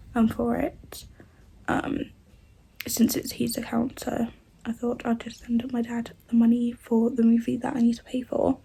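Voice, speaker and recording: A twenty-year-old woman from the UK chatting in a rambling vlog, her voice rough from a cold. The tone is gravelly and husky, low and mellow, and it sits on a fairly flat pitch. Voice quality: rough, odd-sounding voice. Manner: rambling, many "like" and "and that" fillers. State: congested with a cold. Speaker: vlogger. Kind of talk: casual vlog chat.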